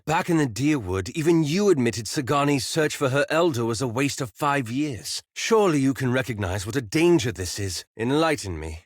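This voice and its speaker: A man acts out an earnest, scholarly male adventurer for a fantasy video game. He speaks in a medium-pitched voice with formal, careful wording and a cautious, courteous manner. The recording is dry and close. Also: no echo, close to an everyday voice. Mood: urgent reproach. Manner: formal, articulate, measured, gently reproachful. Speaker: voice actor in a fantasy role-playing game. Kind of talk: scripted video game character dialogue.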